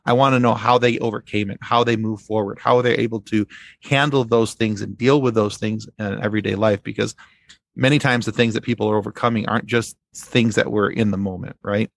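Male podcast guest, deep with a creaky edge, earnest and effusive, casual delivery, dry close sound. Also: steady tone, brisk, no room sound.

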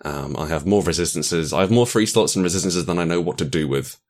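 A man's voice: deep voice, fast. A man guiding players through tabletop character creation, explaining rules in a playful, easy manner. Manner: explanatory, playful, laughs easily. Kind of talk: casual tabletop chat.

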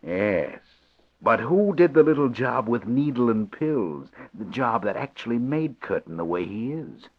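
A sly, husky villain doctor. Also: male voice, mid-range voice, very animated, unhurried, heavily acted. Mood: sardonic. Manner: dry, mocking, self-satisfied. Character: sly, sardonic male sanitarium doctor. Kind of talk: 1940s radio acting. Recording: dull, muffled old radio recording.

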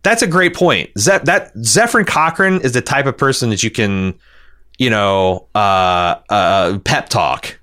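Deep-voiced man, halting podcast talk. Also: lively intonation, pushed and bright. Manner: hesitant, halting, often repeating words. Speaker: podcast co-host. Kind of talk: casual critical discussion of a TV show.

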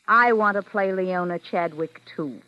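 A woman's voice: fairly low pitch, measured pace, close to an everyday voice. An actress playing a brazen, scheming young woman in a 1940s radio suspense drama. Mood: cool, confrontational. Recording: muffled old radio recording.

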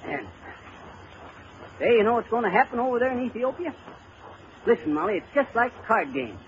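Blustery small-town husband dodging chores, high swoopy voice, hissy old radio sound. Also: male voice, sing-song, fairly quick. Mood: eager know-it-all. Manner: hemming, evasive, folksy grammar, chuckling. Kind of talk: 1930s radio comedy acting. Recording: muffled, noisy old radio recording.

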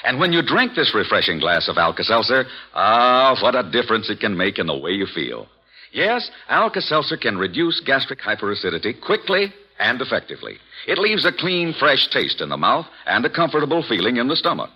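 A man reading an old-time radio advertisement in a bright selling tone. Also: medium pitch, huge pitch swings, brisk, slightly rough. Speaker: radio announcer. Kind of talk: 1940s radio ad read. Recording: dull lo-fi old radio recording.

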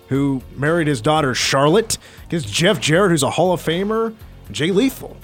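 A man hosting a sports talk radio show, chatty and rambling, his voice getting a little hoarse. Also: fairly high pitch. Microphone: studio microphone.

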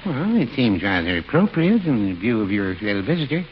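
A dull old radio comedy recording with faint noise. A man acts out a mild, henpecked older shopkeeper, pedantic and droll, with a lively rise and fall as he draws out each remark. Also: medium pitch, fairly quick, natural voice. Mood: pleased, droll. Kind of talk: 1940s radio comedy acting.

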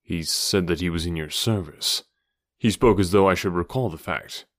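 Male narrator playing concerned hero, deep pitch, serious, measured, storybook dialogue. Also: no echo, clean studio sound, natural voice. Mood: puzzled, earnest. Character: earnest, grave adventurer hero, concerned and steady. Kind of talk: audiobook character dialogue.

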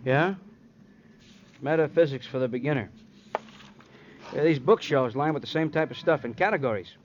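A man's voice: fairly high pitch, moderate pace, natural voice. Man acting a plain-spoken radio cop, muffled sound. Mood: matter-of-fact. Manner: clipped, colloquial, matter-of-fact. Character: plain-spoken, unbookish working police detective. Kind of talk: 1950s radio crime drama acting. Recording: dull, slightly noisy old radio recording.

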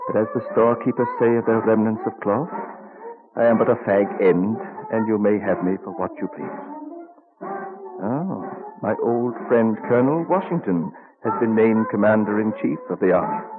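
A man acting as an elderly statesman in a vintage radio play, low in pitch and musing as he goes. He is deliberate and wryly weary. The sound is tinny and old, with music behind. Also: natural voice. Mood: wry, resolute. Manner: deliberate, musing, dryly witty.

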